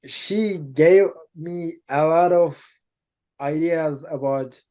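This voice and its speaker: A man from Turkey with a Turkish accent and a somewhat high-pitched voice. He talks casually and haltingly, repeating words as he searches for what to say. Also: mellow. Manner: hesitant, many restarts and laughs. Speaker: interview guest, Salesforce developer. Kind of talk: casual conversational interview.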